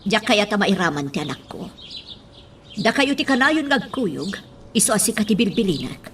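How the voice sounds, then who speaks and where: A woman's voice: mid-range voice, very animated, normal speed, slight background noise, lightly acted. A woman with an Ilocano accent playing an anxious, protective mother and lady of the house in a radio drama. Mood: pleading.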